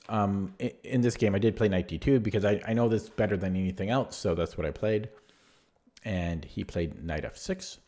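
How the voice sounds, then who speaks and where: A man going over one of his own chess games move by move in a relaxed, rambling way, with a voice that is going hoarse. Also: low voice. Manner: rambling, many fillers and restarts. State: losing his voice. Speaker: chess player reviewing his own game. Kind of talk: casual spoken chess analysis.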